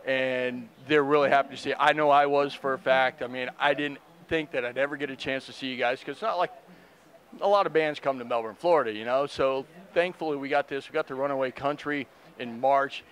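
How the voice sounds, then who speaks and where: A middle-aged man hosting an excited, casually swearing backstage interview at an outdoor festival, on a handheld mic. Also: mid-range voice, brisk. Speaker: interview host.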